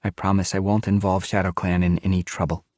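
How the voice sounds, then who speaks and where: A man's voice: low voice, flat delivery, fairly quick, darkish tone, no echo, pitched above the performer's own voice, close to an everyday voice. A man voicing a young female cat apprentice, cautious and determined, speaking earnestly in an audiobook.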